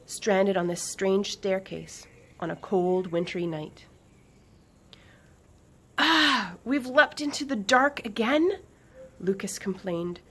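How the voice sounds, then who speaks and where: Lower-pitched woman reading a children's story. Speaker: author reading aloud. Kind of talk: expressive children's audiobook narration. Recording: faint background noise.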